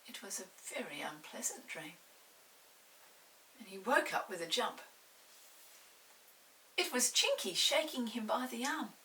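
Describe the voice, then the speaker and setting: A woman's voice: fairly high pitch, lively intonation, unhurried, pauses often, bright, forceful tone. An older woman narrating a children's story expressively, with dramatic touches. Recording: distant mic with hiss.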